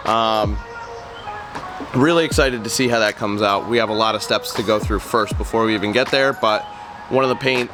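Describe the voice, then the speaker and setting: Man with a nasal, cold-stuffed voice. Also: medium pitch. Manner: easygoing, earnest, with frequent ums and uhs. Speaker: car vlogger. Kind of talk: casual car walkaround vlog. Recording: music playing underneath.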